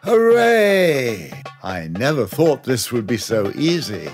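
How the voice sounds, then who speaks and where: Man acting a slow-talking scheming cartoon magician. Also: medium pitch, big pitch swings, faint music behind. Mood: gloating, commanding. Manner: theatrical, evil laughs. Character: scheming, gloating villainous magician.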